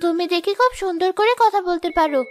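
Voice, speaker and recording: A woman voicing a poor, kind young village girl in a moral-story cartoon, earnest and gentle. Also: very high-pitched, fast talker.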